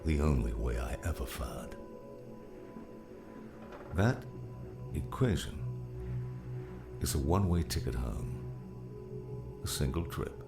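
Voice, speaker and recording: Old male mentor, rock-bottom pitch, gravelly, unhurried, gruff, fatherly, weary, audiobook drama. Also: expressive, full character voice. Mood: solemn. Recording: music behind the voice.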